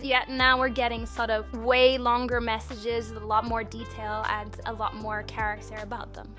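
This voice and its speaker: A woman's voice: a little high, pushed and bright. A woman reviewing a website in a casual screen-recorded walkthrough, chatty and thinking aloud as she clicks through menus.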